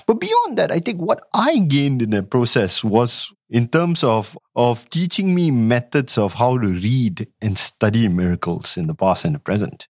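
A man's voice: medium pitch, darkish tone, dry recording, clean studio sound. A man from Singapore, an academic researcher answering interview questions thoughtfully, with frequent restarts. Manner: thoughtful, many restarts and hedging fillers.

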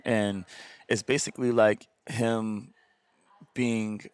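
A man with a raspy voice, talking as a podcast guest in casual, animated chat, repeating words and fillers as he goes. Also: fairly low pitch, takes it slowly, no room sound. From Hawaii. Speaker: podcast guest, dancer and singer.

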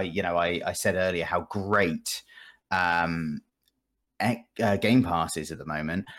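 A British man hosting a casual gaming podcast, rambling and thinking aloud with a wry manner. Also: lowish voice.

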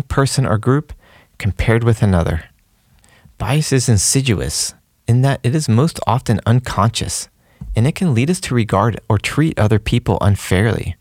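A man hosting a podcast, reading a scripted introduction in a measured, explanatory way. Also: medium pitch, a little mellow, dry recording, clean studio sound. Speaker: podcast host. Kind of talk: scripted narration.

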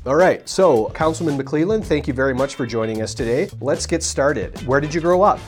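A man's voice: fairly high pitch, expressive, brisk, soft background music. A man hosting an interview podcast, asking questions in an upbeat, friendly manner. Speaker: podcast host, communications manager.

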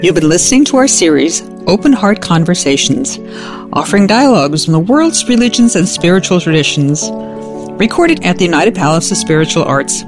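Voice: medium pitch, sing-song, fairly quick. An announcer reading a scripted closing for a radio series. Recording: guitar music under the voice.

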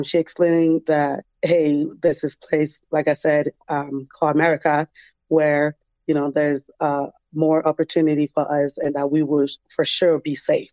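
Young woman telling her story, pretty even tone, close dry sound. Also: sits low, no echo. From Liberian, grew up in a refugee camp in West Africa. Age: young adult. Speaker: interview guest, dance program founder. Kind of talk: conversational personal storytelling.

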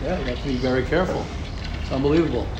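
A man teaching a Torah class, taking questions and acting out quoted gossip in a lively, repetitive way. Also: mid-range voice, racing. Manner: animated, acts out quoted gossip, repeats words. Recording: echoey room, noisy ambience.

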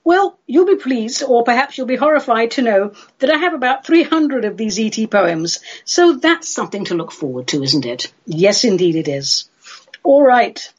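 A woman's voice: on the high side, slightly rough, highs cut off. A woman from London with a London English accent hosting a chatty solo radio show in a playful, theatrical, droll manner. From London, England.

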